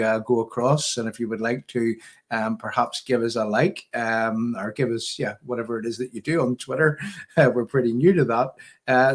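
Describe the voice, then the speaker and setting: A man with a Northern Irish accent hosting a political livestream in a casual, conversational way, often hesitating. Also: mid-range voice.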